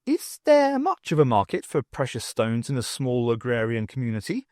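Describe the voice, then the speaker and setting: A man voicing an exasperated, sharp-witted woman adventurer who asks impatient questions in an audiobook. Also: mid-range voice, huge pitch swings, normal speed, no echo, studio quality. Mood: sceptical.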